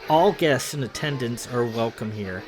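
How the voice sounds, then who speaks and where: A calm young lord speaks patiently and reasonably, voiced by a man reading a story. His tone stays even and persuasive. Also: mid-range voice, moderate pace, music in the background, lightly acted. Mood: firm.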